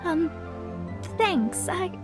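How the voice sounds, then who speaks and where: A very high, girlish voice plays a proud young female astrologist. She is theatrical and haughty yet easily flustered, in video game style. Faint music plays behind her. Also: lively intonation, drawn-out words, full character voice. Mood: sheepish.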